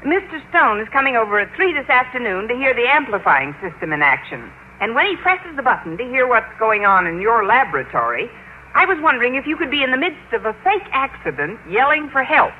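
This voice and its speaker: Through a phone-thin vintage radio sound comes a sardonic schoolteacher. She delivers wisecracks dryly, with lively swings in pitch, over faint hiss. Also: a little fast. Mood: wry, plotting. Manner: dry, sardonic. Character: wry, wisecracking adult schoolteacher heroine. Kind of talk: old-time radio sitcom acting. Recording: tinny old radio recording with noise.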